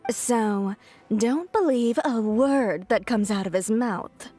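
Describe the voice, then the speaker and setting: An actress playing a sly, flirty swindler in a video game, theatrically self-pitying and coy. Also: female voice, a little high, animated, extremely slow, a little mellow, soft background music, heavily acted. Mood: plaintive, self-pitying.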